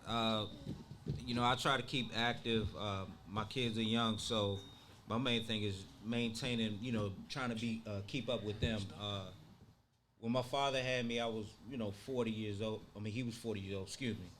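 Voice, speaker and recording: A man in his forties speaking calmly and matter-of-factly on a podcast. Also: fairly low pitch, normal speed, slight background noise. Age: 40s. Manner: calm, matter-of-fact. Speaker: podcast guest. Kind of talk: casual chat.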